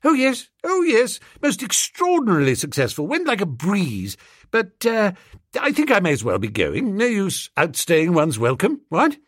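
A man voicing a flustered, bumbling young gentleman who stammers and dithers, in a storybook reading. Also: high voice, wildly swooping pitch, moderate pace, no echo, clean studio sound, voice pushed up, heavily acted. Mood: nervous.

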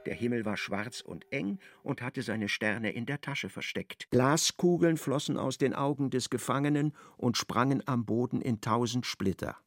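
A man narrating a literary radio play in a measured, vivid storytelling manner. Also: mid-range voice.

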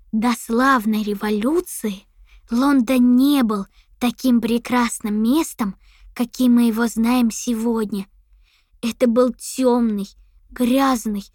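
High husky didactic textbook reciter. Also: female voice, darkish tone, dry recording, clean studio sound. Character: dutiful female reciter of a party history textbook. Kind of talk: scripted audio drama recitation.

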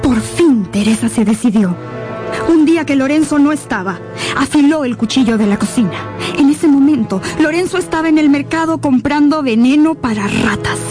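A woman's voice: high voice, lively intonation, moderate pace. A woman narrator telling a radio novel in a solemn, suspenseful, dramatic scripted style. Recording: dramatic music behind the voice.

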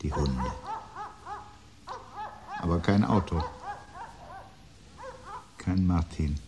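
An actor playing a brooding, dryly ironic man in a literary radio play, speaking formal, measured lines with a husky voice. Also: low-pitched, a crowd in the background, heavily acted. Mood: brooding, resigned. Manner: measured, dry, articulate, formal. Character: brooding, dryly ironic man awaiting looming catastrophe at home.

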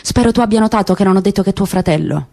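A woman voicing a calm, ironic middle-aged man in a radio drama reading, speaking quietly and with measure. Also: mid-range voice, racing, vocal fry. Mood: measured.

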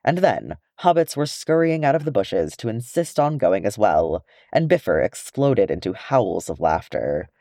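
Male narrator, fantasy audiobook, very animated pitch, mellow tone, dry close sound. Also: normal speed, hardly any pauses, dry recording. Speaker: audiobook reader of fan fiction. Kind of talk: expressive scripted story narration.

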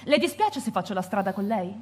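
A woman's voice: mid-range voice, very animated, fairly quick, breathy edge. A young woman playing an odd, curious seventeen-year-old girl in a radio play, light and playful.

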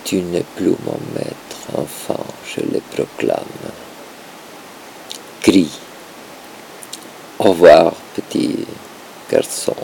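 A man giving a performative poetry reading, with a halting, dramatic delivery in short broken phrases and a gravelly, creaky voice. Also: deep voice, very animated, warm tone, slight background noise, rough recording.

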